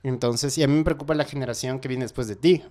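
A man's voice: medium pitch, fairly even intonation, fast talker, mellow. Casual Quito-accented man hosting a chat. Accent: Quito Ecuadorian Spanish. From Ecuador. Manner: casual, reflective, keeps checking in with "¿cachas?". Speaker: host, musician. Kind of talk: conversational interview.

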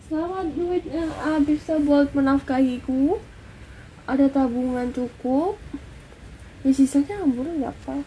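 A woman's voice: high-pitched, unhurried, dark tone, a little hiss or hum, low-quality recording. A woman co-hosting a casual couple podcast with her husband, answering him with teasing, dry retorts. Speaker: podcast co-host, wife.

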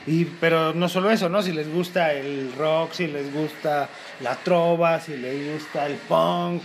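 A man's voice: a little high, steady tone, measured pace, background noise. A man with a Mexican accent chatting as a guest in an easygoing, hesitant way. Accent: Mexican Spanish. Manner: easygoing, hesitant, many fillers. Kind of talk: casual interview chat.

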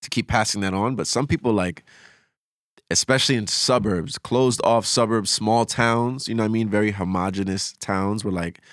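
A man's voice: fairly low pitch, moderate pace, no room sound, clean studio sound. A man talking casually as a podcast guest, reflective and slangy as he shares opinions.

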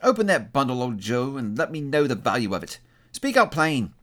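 A man's voice: medium pitch, big pitch swings, moderate pace. A man voicing a brazen, defiant, hard-hearted woman thief in a storybook reading. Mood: scornful, bold. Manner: loud, challenging, working-class plain speech.

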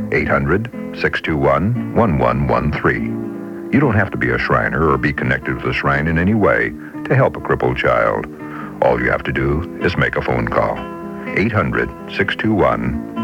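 A man's voice: rumbling low, vocal fry. An American man reading a scripted public service announcement. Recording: dull old radio broadcast. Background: guitar music.

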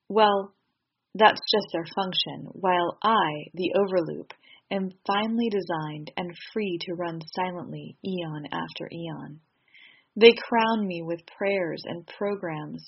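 A woman's voice: fairly low pitch, creaky, husky, full character voice. A woman reading poetry as a haughty, disdainful machine mind, imperious and scornful. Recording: slightly dull recording.

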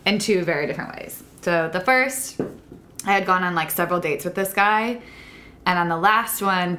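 A woman in her twenties, a podcast guest, tells a dating story casually and acts out the quotes. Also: lowish voice. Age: 20s. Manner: animated storytelling, acting out quotes, often laughing.

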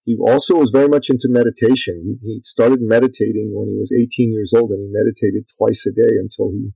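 A middle-aged man from Iran speaks in a low, gravelly, slightly husky voice with a dark, mellow tone. His delivery is flat and conversational, filled with ums and restarts. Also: fast talker, dry recording, poor audio. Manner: talkative, many fillers and restarts. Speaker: podcast guest and author. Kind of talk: casual interview talk.

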